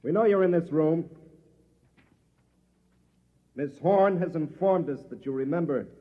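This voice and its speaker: Old-time radio villain: coolly menacing executive, formal commands, fairly high voice, muffled lo-fi recording. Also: male voice, a little slow. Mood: cold threat. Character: smooth, menacing male agency boss, coolly commanding. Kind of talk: mid-century American radio drama villain acting.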